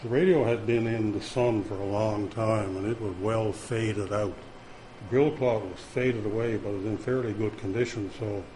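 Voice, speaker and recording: Relaxed man with a low voice. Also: slight background noise, rough recording. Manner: relaxed, hesitant with frequent fillers. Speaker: radio restorer. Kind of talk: casual spoken account.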